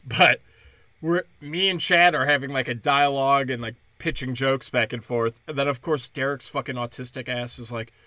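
A young man, a stand-up comedian, rambling crudely and mockingly through a solo podcast, breaking into high mimicking voices. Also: fairly even intonation, moderate pace, sharp tone, no room sound, studio quality.

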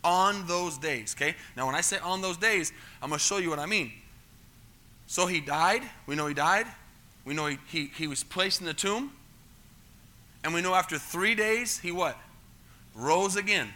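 A middle-aged American pastor with a high, very bright and forceful voice. He preaches in a chatty teaching style, stumbling over repeated words and often asking the congregation whether they follow. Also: normal speed. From United States. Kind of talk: sermon.

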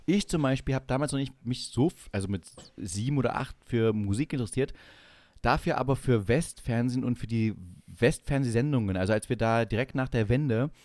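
A man's voice: medium pitch, fairly quick, a little mellow, dry recording. A man around his forties co-hosting a casual podcast, rambling through memories with emphatic enthusiasm. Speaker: podcast co-host. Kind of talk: casual podcast chat with reminiscing.

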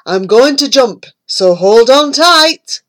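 A woman voicing a big, boastful, friendly male toad in a storybook poem, speaking loudly and heartily. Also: high-pitched, lively intonation, slow, heavily acted. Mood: hearty. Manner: loud, hearty, pleased with himself.

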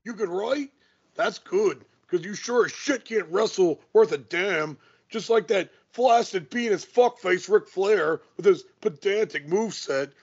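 A man playing a sneering, boastful pro wrestler with a husky voice in a comic audio play. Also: sits high, animated, unhurried, highs cut off, full character voice. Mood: sneering contempt.

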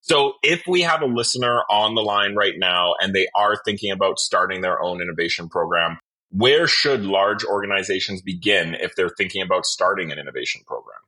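Low-voiced man interviewer, bright and husky. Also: lively intonation, fairly quick, poor audio. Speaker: podcast interviewer. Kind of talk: conversational interview.

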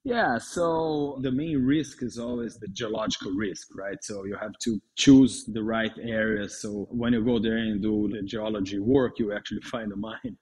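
A man's voice: lowish voice, steady tone, normal speed, hardly any pauses, a little mellow, slightly rough. Brazilian-accented middle-aged man explaining. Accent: Brazilian Portuguese. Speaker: guest, mining entrepreneur. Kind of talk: conversational interview answers.

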